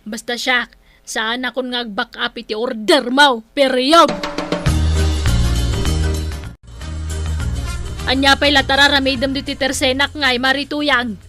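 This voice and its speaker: A woman's voice: sits high, fairly even intonation, normal speed, bright, forceful tone, soft background music. A woman playing a stubborn, indignant village woman in an Ilocano comedy drama, with an Ilocano accent and an exclaiming way of talking. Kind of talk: Ilocano comedy radio-style drama acting.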